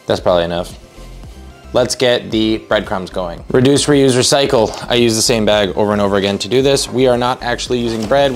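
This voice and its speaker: Young man, casual vlog host, middle-pitched voice, playful asides, light background music. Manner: upbeat, jokey, talks to viewers while cooking. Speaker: fitness vlogger. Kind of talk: casual cooking vlog talk.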